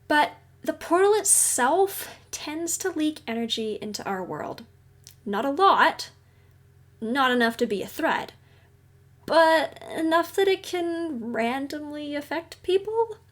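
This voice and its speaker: A woman playing a kindly, professional agent in an audio roleplay, gently explaining things and reassuring the listener in a conversational way. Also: sits high. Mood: explanatory. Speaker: agency guide briefing a newcomer.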